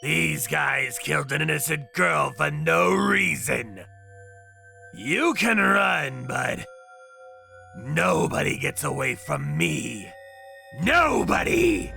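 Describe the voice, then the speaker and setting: Hot-tempered manga hard man: highish, gritty, swinging delivery, gruff and aggressive. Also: lively intonation, slow, faint music behind, full character voice. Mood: menacing. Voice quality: gravelly, forceful. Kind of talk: exaggerated manga dub acting.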